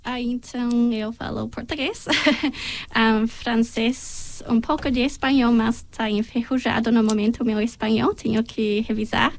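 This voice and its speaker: Slow, gravelly-voiced young woman. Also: on the high side, steady tone. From New Zealand. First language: English. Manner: hesitant, laughs often, searches for words. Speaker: guest. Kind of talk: interview.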